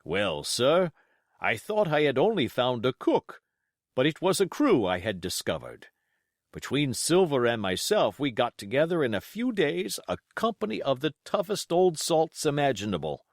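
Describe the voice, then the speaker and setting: Man as storybook country squire, pompous, excitable, blustering, extremely animated pitch, dry sound. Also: moderate pace, no room sound. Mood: blustering, confiding. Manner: emphatic, blustering, theatrical. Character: pompous, excitable, gullible English country squire writing a letter.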